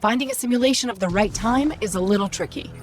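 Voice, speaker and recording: A woman voicing a witty, eager AI ghost companion in a video game, with a wry, expressive, conversational delivery. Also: mid-range voice, fast, music in the background, lightly acted. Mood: thoughtful, wry. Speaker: guide companion. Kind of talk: video game dramatic dialogue.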